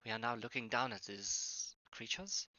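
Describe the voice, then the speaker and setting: A man's voice: mid-range voice, animated, normal speed, bright, forceful tone, no room sound. A man voicing a male adventurer who speaks in a formal, stilted way with a put-on foreign accent, on dull lo-fi stream audio. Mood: stiff.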